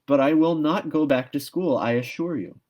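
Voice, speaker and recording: A man's voice: on the high side, moderate pace, darkish tone, voice pushed up, close to an everyday voice. A man voicing a stubborn young schoolgirl in a storybook, solemn, earnest and theatrically reproachful. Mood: indignant, firm.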